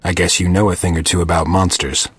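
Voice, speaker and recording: An actor playing a gruff, stoic monster hunter in a video game, terse and deadpan with a raspy voice. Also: male voice, low-pitched, fast. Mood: stoic, dry. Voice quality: gravelly, raspy. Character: gruff, stoic male monster-hunting witcher, terse and dry.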